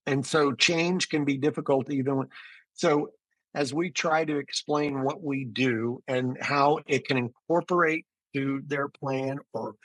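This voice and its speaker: A man's voice: medium pitch, steady tone, unhurried, a bit bright, no echo, rough recording. Man explaining in an interview, close dry sound. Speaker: interview guest, physician and business founder. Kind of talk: conversational interview answers.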